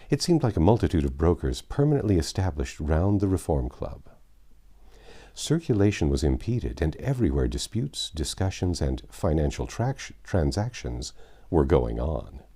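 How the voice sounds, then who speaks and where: A man's voice: a bass voice, warm tone, breathy edge. A man narrating a classic novel as an audiobook, reading expressively with stressed phrases.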